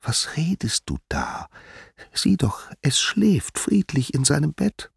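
A man voicing a protective mother goddess in a storybook, speaking in a hushed, breathy way. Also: on the high side, wildly swooping pitch, slow pace, no echo, clean studio sound, pitched above the performer's own voice, full character voice. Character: protective young mother goddess hushing a visitor.

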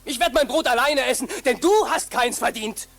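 High bright cocky beggar in a fairytale radio play, bossy and teasing. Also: male voice, normal speed, heavily acted. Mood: mocking. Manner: cheeky, commanding, mocking. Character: cocky male beggar musician, brash and bossy.

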